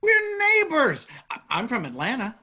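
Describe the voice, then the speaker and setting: A woman's voice: on the high side, wildly swooping pitch, moderate pace, sharp tone, heavily acted. A woman playing a friendly, easygoing travelling actor in a staged play reading. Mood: friendly, wry. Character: friendly, open-minded troupe member from Atlanta. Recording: muffled room recording.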